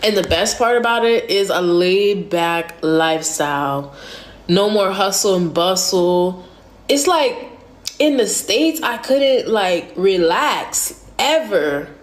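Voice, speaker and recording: A young woman chatting casually to camera in a relaxed way, filling her talk with "like" and "you know". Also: mid-range voice. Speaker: vlogger.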